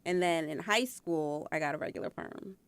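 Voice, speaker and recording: A woman's voice: low-pitched, moderate pace, a crowd in the background. A woman in her thirties from New York, a teacher chatting casually as a podcast guest. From New York, Long Island. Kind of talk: casual chat.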